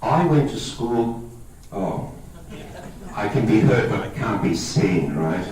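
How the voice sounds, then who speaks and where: A man's voice: lowish voice, lively intonation, slow pace, a little mellow, far from the mic. Greek-born man talking in an echoey hall. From Australia. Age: 50s. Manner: conversational, checks in with listeners. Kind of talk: public talk to a lay audience.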